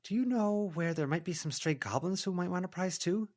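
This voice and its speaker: A man's voice: high-pitched, a little fast, a little mellow, pitched above the performer's own voice. A man voicing a bossy, persuasive young girl witch in an audiobook, with an urgent, coaxing manner. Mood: questioning. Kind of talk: dramatized audiobook character voice.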